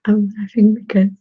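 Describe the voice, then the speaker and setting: Woman, Russian accent, very husky whisper, slow and giggly, dull dry close-mic sound. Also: mid-range voice, no room sound. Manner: soft whispering, giggly, answers viewer comments. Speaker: ASMR channel host. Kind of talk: whispered ASMR live chat. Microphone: desktop USB microphone.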